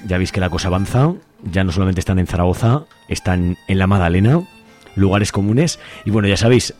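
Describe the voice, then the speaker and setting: A man with a lowish voice and an accent from Spain hosts a radio programme. He addresses listeners informally, as if without a script, over faint music. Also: dark tone. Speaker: community radio host. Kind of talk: informal, unscripted radio presenting.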